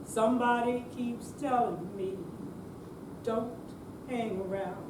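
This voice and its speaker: A woman's voice: fairly high pitch, fairly even intonation, takes it slowly. A woman in readers theater performing song lyrics, half sung and half spoken. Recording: echoey room, distant, clear noise.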